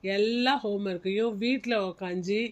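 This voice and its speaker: A woman's voice: medium pitch, normal speed, natural voice. A woman playing a strict but caring senior schoolteacher in a classroom skit, instructing small children and checking that they agree.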